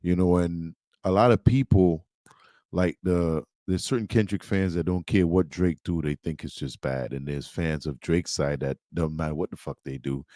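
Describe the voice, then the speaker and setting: A man's voice: low-pitched, darkish tone, dry recording, studio quality. An American man giving casual, off-the-cuff commentary for a reaction video.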